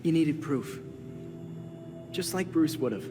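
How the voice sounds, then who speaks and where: Game sidekick: brainy teenage boy, lightly high voice, earnest, music and reverb around him. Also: expressive, slow pace. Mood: reassuring. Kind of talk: video game cutscene acting. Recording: reverberant hall, music behind.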